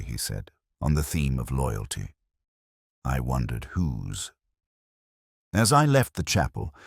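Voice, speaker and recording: A man narrating a scripted first-person detective story in a measured, reflective, literary manner. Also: very deep voice, no room sound, clean studio sound. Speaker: audio drama narrator.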